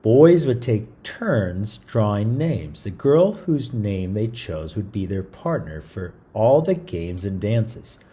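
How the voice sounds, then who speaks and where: Mellow, animated male storyteller. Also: on the low side, slow, hardly any pauses. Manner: informal, chatty, stumbles while reading. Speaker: read-aloud storyteller, show host. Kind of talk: reading a picture book aloud with casual asides.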